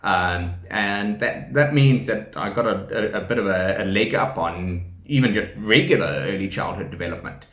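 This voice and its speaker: A man's voice: on the low side, normal speed, hardly any pauses, a bit bright. Easygoing South African man, echoey room. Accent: South African English. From South Africa, KwaZulu-Natal. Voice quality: speech shaped by profound hearing loss. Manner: rambling, many ums and restarts, laughs often. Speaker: deaf teacher and rugby player presenting a channel. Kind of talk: casual explanatory talk to camera.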